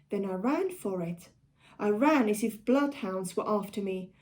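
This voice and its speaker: A South African woman reading a storybook aloud for children like a schoolteacher, with deliberate, expressive delivery. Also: mid-range voice. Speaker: primary school teacher.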